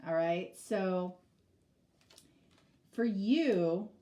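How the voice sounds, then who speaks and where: A woman's voice: fairly low pitch, extremely slow, warm tone. A middle-aged woman with a stuffy, nasal voice from a cold, giving a casual tarot reading and rambling through many fillers. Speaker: tarot reader.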